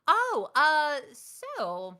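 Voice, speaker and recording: A woman voice actress in a casual interview, talking frankly and giving advice. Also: drawn-out words. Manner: animated, acts out quoted people in higher voice. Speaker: voice actress guest.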